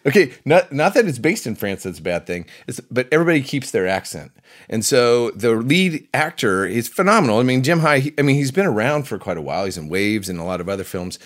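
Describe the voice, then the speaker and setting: A man's voice: medium pitch, very animated, a little fast. A man hosting a film review show in casual, unscripted chat, rambling along and often doubling back on his phrases.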